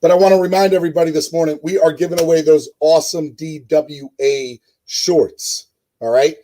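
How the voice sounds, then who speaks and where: A man with a hoarse voice hosting a casual live-stream sports show, rambling with many fillers and talking to his chat viewers. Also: on the high side, steady tone, normal speed, warm tone, slight background noise, rough recording. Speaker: host.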